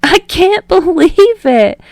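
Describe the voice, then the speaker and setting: A woman voicing an excitable, teasing teenage boy in a wheezy, exclaiming way for a story reading. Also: very high voice, slow pace, no echo, studio quality, voice pushed up, full character voice. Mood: laughing disbelief.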